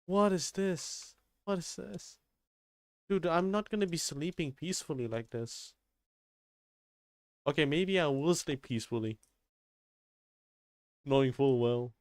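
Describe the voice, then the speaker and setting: A man's voice: on the high side, a little slow, long pauses, no room sound, clean studio sound. A man streaming a game, reacting casually in a sweary, exclamatory way.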